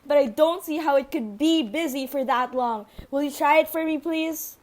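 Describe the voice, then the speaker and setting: Casting: actress as nervy, imperious invalid wife for radio drama, high-pitched, agitated delivery. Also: female voice, moderate pace, natural voice. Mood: nervous, fretful. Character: anxious, demanding housebound invalid wife. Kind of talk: radio play acting.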